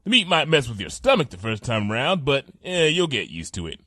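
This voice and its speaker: A man's voice: medium pitch, wildly swooping pitch, brisk, pushed and bright, no room sound, studio quality, full character voice. Man playing a hearty, folksy, wildly swooping pony cook. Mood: hearty, friendly. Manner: folksy drawl, blustery, informal. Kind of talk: audio drama character acting.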